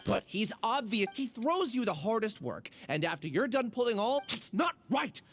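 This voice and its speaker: A man's voice: high voice, sing-song, normal speed, a bit bright, soft background music, exaggerated voice. A man voicing an indignant, loyal young colleague in an anime game, heated and emphatic.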